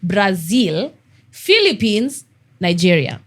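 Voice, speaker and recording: Lively teasing Kenyan woman. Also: mid-range voice, slow pace. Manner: teasing, laughs often, lively. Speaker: podcast co-host. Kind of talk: casual chat.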